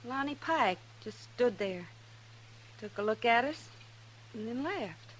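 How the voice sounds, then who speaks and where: An actress playing a friendly, anxious gambler's wife in a 1950s radio western. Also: female voice, a little high, expressive, slow pace. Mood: worried. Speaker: supporting actress. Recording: faintly noisy vintage radio recording.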